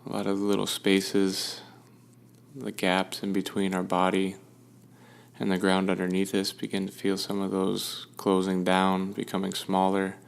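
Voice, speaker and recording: A man leading a guided meditation with gentle, soothing instruction and unhurried pauses. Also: low voice, monotone, vocal fry, smooth.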